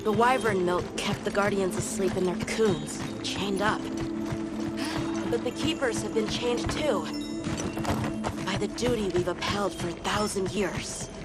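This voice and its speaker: A woman's voice: mid-range voice, a little slow, natural voice. An actress voicing an earnest young woman companion in a video game cutscene, speaking in a heartfelt, reflective way. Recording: game audio with loud music.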